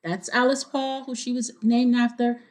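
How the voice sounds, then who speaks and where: A woman reading a children's storybook aloud, pausing to explain things and check in with young listeners. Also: on the high side, little pitch movement, darkish tone.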